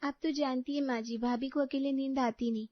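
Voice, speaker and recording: A woman plays a bubbly young sister-in-law from a cartoon, chatty, caring and eager. Her voice is high, captured dry with a slightly dull, lo-fi tone. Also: steady tone, brisk, no echo, natural voice. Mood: polite.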